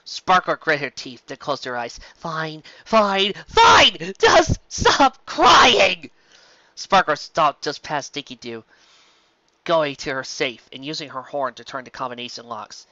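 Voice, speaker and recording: A woman reading a story aloud as its narrator, in an expressive scripted style. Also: extremely low pitch, normal speed, no echo, dull audio.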